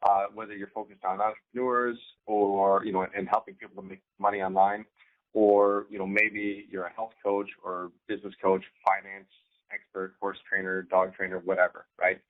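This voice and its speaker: Phone-like sounding man, halting informal coach. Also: low voice, flat delivery. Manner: halting, repeats words, often checks in with "right?". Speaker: co-host, online business coach. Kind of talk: informal instructional talk. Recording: thin, phone-like band-limited sound.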